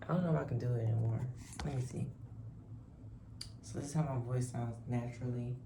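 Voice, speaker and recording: A sleepy woman with a deep voice, chatting to her camera at home in a rambling, reflective way. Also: brisk, gravelly, a little hiss or hum. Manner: reflective, rambling, soft-spoken. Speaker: vlogger. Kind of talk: casual chat to camera. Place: top-floor apartment at home. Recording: echoey room.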